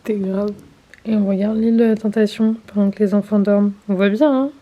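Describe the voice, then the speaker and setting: A woman's voice: medium pitch, fairly even intonation, a little fast, lo-fi sound. A young woman and mother vlogging casually at home, chatting in a rambling way full of fillers and restarts. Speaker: family vlogger, mother of a newborn. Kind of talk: casual chat to camera. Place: at home, bedroom and kitchen.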